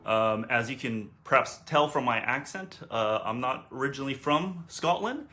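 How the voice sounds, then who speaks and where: American man, bright clear voice, frequent uh and um, restarts his phrases, lecturing at a workshop. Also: mid-range voice, moderate pace. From United States. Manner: hesitant, many "uh" and "um" fillers and restarts. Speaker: theologian, workshop speaker. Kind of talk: recorded workshop talk.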